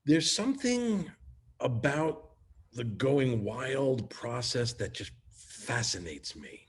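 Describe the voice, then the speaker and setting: A man's voice: medium pitch, sing-song, slightly rough, exaggerated voice. A man playing a sheepish, evasive, affectionate sitcom husband who stammers and hedges through a comedic script read. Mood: awkward, dodging. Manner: stammering, halting, hedging. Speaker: sitcom actor at a cast reunion table read.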